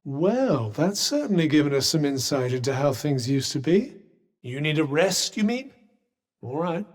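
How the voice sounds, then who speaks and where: An actor playing a haughty, well-spoken talking magic cuff in a video game, with a British accent and dry, sarcastic, formal delivery. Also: male voice, on the high side, sing-song, normal speed. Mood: measured, wry. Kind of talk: scripted video game companion dialogue.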